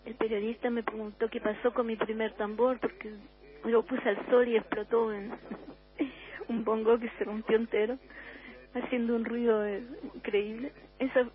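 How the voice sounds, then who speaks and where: An older woman from Uruguay with a Chilean accent, a musician guest on a radio interview, talking in a reflective, unhurried way with many restarts. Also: on the high side, level tone, narrow, tinny audio, slight background noise.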